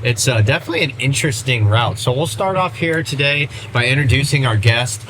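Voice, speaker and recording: A man's voice: medium pitch, expressive, a little fast. A man hosting a casual podcast, rambling with lots of fillers. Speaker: podcast host. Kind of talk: casual interview chat. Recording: noisy background.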